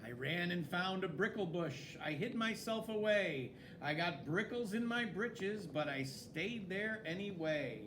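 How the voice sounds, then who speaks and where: A man reading a children's story aloud in an expressive, dramatic way, with swooping emphasis. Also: sits high, unhurried, hardly any pauses, noisy background. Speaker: story reader.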